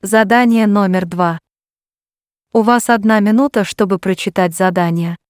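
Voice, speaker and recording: A woman reading formal test instructions in a neutral, measured announcing manner. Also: mid-range voice, no echo, clean studio sound. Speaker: exam announcer.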